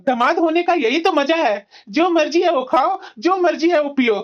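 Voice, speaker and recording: A woman's voice: sits high, normal speed, lightly acted. A woman voicing a pompous, entitled, complaining young son-in-law in a cartoon family drama. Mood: gloating. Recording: dull, lo-fi sound.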